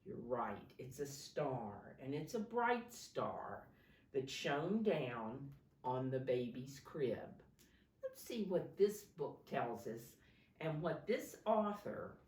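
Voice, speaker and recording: A woman with a low voice reads a story to small children as their teacher. Her pitch swings expressively and she takes her time, explaining simply and putting questions to the listeners. The sound is roomy and set back from the microphone. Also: very animated, slow, a little mellow. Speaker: preschool teacher reading a picture book aloud. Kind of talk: storytime read-aloud for young children. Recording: roomy, echoey sound, distant from mic.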